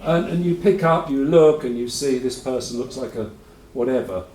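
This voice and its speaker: A man's voice: medium pitch, moderate pace, darkish tone, slight background noise. Chatty male conference host, distant in hall. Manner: chatty, rambling, self-correcting with dry asides. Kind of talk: informal conference introduction. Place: lecture hall.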